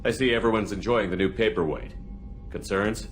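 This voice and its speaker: A man as a low-voiced, direct space commander. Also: moderate pace, faint music behind, lightly acted. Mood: wry, confident. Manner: direct, matter-of-fact. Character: confident, pragmatic male space military commander. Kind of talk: video game acting.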